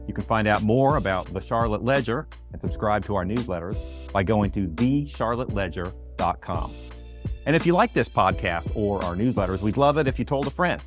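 A man hosting a podcast, reading a polished scripted intro and then asking interview questions. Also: on the low side. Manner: scripted, smooth intro delivery. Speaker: podcast host.

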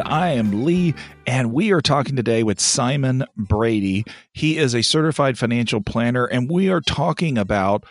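A man's voice: medium pitch, no room sound. A man hosting a radio show, introducing and steering the interview in a conversational presenter style.

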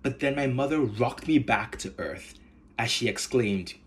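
A man from Nigeria with a Nigerian accent, hosting a podcast in measured, earnest scripted narration. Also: mid-range voice. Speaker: podcast host.

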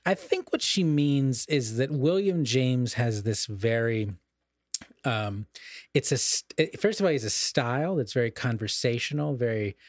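A man with a mid-pitched voice co-hosts a podcast in a very dry, close-sounding recording. He talks casually but like a scholar, unspooling ideas at length and revising his phrasing along the way. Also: animated, unhurried, no room sound. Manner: discursive, scholarly, self-correcting. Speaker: podcast co-host. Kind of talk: casual literary discussion.

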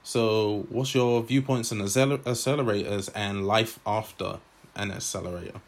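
A man hosting a podcast, reading a scripted intro and then asking interview questions in a measured, upbeat way. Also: fairly low pitch, steady tone. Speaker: podcast host.

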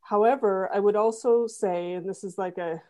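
A woman guest on a podcast, explaining her work in a relaxed conversational way and repeating words as she thinks. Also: medium pitch, moderate pace. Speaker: podcast guest, web designer. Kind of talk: casual interview chat.

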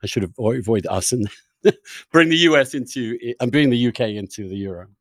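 A man's voice: mid-range voice, lively intonation, dry recording, studio quality. A man hosting a conversational interview, asking probing questions and often rephrasing them as he goes. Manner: halting, rephrases questions repeatedly. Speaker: interviewer, podcast host.

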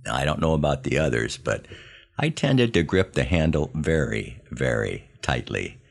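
An American man in his seventies narrating scripted first-person memoir stories in a wry, plain-spoken, measured way. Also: very deep voice, big pitch swings, gravelly. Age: 70s. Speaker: podcast host telling his army memories.

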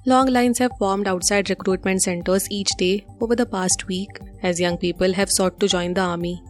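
Indian-accented woman reading news over music. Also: medium pitch. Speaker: news presenter.

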